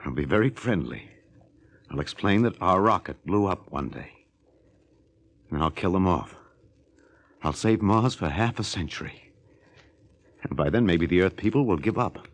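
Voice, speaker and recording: An actor with an American accent playing a bitter, disillusioned astronaut turned killer in a 1950s radio drama, intense and halting. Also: male voice, deep voice, lively intonation. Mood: angry, resolute. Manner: intense, halting, broods aloud. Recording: old 1950 radio recording.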